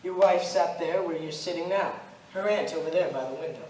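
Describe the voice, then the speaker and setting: Young male actor as Judas-like accusing spirit: high voice, solemn and deliberate, amateur stage drama, picked up from across a roomy space. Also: a little mellow, natural voice. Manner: solemn, deliberate, declamatory storytelling. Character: Judas, ghostly accusing stranger, eighteen centuries old, grave and relentless. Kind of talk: amateur stage play acting. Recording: roomy, noisy stage recording from far off.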